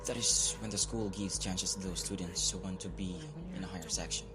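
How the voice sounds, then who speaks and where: A man playing an aggrieved teenage student in a radio drama, halting and emphatic as he speaks. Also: deep voice, steady tone, lightly acted. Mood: earnest recounting. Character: aggrieved teenage male student recounting injustice. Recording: voice over clear background music.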